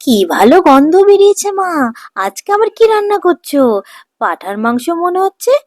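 A woman voicing an eager youngster in a cartoon, pleading with mother in a hurried way. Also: very high-pitched, big pitch swings, darkish tone. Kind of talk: cartoon voice acting.

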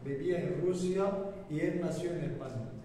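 A man speaking informally on a discussion panel, in halting phrases. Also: on the high side, warm tone. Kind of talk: informal round-table discussion. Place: museum lecture room, table microphones. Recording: very echoey hall, distant, faint noise.

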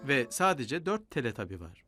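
A man narrating a dubbed children's cartoon in a gentle storybook manner, counting things aloud. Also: mid-range voice, big pitch swings, moderate pace, music in the background.

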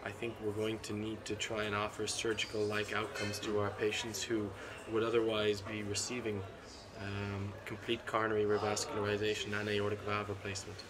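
A middle-aged man, a cardiologist being interviewed at a conference, talks in a measured, analytical way with frequent ums and restarts. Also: fairly low pitch, monotone, noisy background. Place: seated at a conference booth indoors. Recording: constant conference hall ambience.

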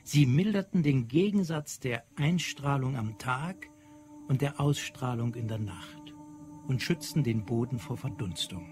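A man narrating scripted literary prose in a measured, precise way. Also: mid-range voice, expressive. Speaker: audio drama narrator. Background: prominent music.

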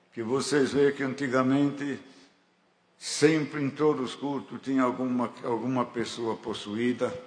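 A man with a Brazilian Portuguese accent preaching a fervent sermon in a church hall, building to emphatic declarations. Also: mid-range voice. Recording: echoey church hall with faint ambience.

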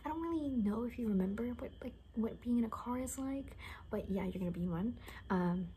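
A young woman speaking in a soft, breathy whisper, improvising a casual, rambling ASMR roleplay with a gently sardonic manner. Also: on the high side, expressive, brisk, low-quality recording. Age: young adult. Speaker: ASMR creator playing a caretaker. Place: small home bedroom, indoors. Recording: faint background room noise.

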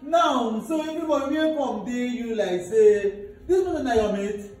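A man's voice: very high-pitched, animated, moderate pace, a little mellow. A man playing a stern older brother who scolds his sister, then turns apologetic. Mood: scolding. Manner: scolding, mixes Nigerian Pidgin and English. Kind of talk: comedy skit acting. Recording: echoey room, distant mic, music.

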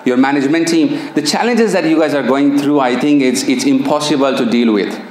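A man's voice: medium pitch, brisk, a little mellow. Echoey, chatty Pakistani-accented man. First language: Persian. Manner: chatty, engaging, asks audience questions. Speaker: NLP coach and trainer. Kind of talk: live seminar presentation. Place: seminar room with projector screen. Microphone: handheld microphone. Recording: echoey room, faint hum.

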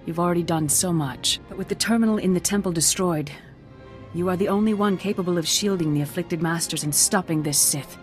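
An actress voices a solemn woman leading a Jedi council in a game. Her voice is on the low side, formal and considerate. Faint music plays behind. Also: a little fast, natural voice. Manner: formal, authoritative. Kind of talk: video game voice acting.

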